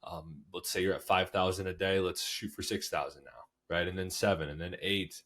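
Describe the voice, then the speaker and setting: A man's voice: deep voice, moderate pace. A young man co-hosting a casual podcast, nasal and stuffed up from a cold, talking loosely with lots of "like" and "right?". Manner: relaxed, repeats "like" and "right?" often. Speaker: podcast co-host.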